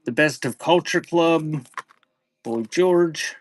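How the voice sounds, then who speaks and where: A man's voice: fairly high pitch, slow pace, a little hiss or hum. A man hosting a live-stream auction, announcing items in short clipped phrases and chatting casually with viewers in between. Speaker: live auction seller and host.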